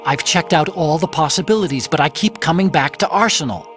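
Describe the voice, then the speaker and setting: An actor playing a gentle, brainy support ally in a video game, explaining things in a careful, analytical way. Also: male voice, on the high side, brisk, close to an everyday voice. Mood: grave, analytical. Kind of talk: dramatic video game voice acting. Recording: loud music behind the voice.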